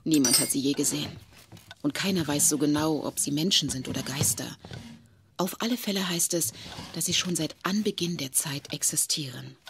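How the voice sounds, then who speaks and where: An actress playing a grave, knowing woman who explains witch lore in a measured, ominous way in an audio drama. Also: sits low. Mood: grave explaining. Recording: noisy cassette radio play recording.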